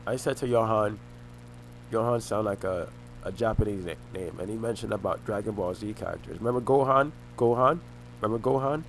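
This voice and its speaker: Young man, lowish voice, halting rambling monologue with restarts, faint hum behind. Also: poor audio. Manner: halting, repeats and restarts phrases. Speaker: vlogger.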